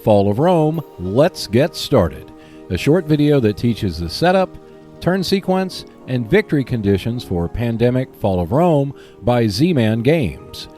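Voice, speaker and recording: A man hosting a hobby podcast, reading scripted announcements in a measured, clearly enunciated way. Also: mid-range voice, very animated, dark tone, faint music behind. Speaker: podcast host.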